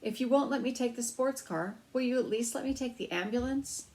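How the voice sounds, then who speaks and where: A woman's voice: a little high, a little fast, a little mellow, pitched above the performer's own voice, close to an everyday voice. A woman voicing an eager, determined young boy mouse in a children's storybook, insistent and pleading. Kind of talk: read-aloud children's storybook.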